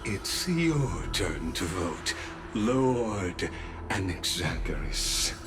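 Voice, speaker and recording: An actor playing a solemn, stately official who presides over a vote with deliberate, formal pronouncements in a game. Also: male voice, low-pitched, very animated, noisy background, exaggerated voice.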